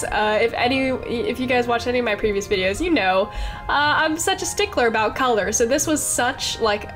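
A woman's voice: medium pitch, a bit bright. A young woman with a stuffy, congested voice from a cold, chatting casually and rambling as she narrates her game build, with lots of fillers and little laughs. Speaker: Sims speed-build creator.

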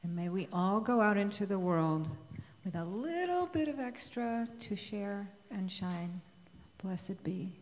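A middle-aged woman leads a congregation in closing words at a slow pace. Her voice is medium in pitch and rings in a large church hall with faint noise behind it. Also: animated. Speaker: worship leader at a church.

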